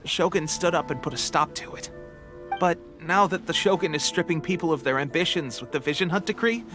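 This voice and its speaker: A man's voice: on the high side, brisk, close to an everyday voice. A man playing an earnest, brave but ailing young resistance soldier in a video game. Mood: earnest, somber. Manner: earnest, breaking off to cough. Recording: game music behind voice.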